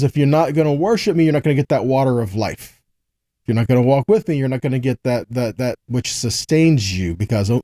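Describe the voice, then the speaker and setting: A man with a soft-edged, mellow voice pitched a little high teaches from scripture. He reads verses and then explains them in a didactic style, punctuated by brief questions to the listener. The sound is dry, with very little room in it. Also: dry recording. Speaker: Bible teacher.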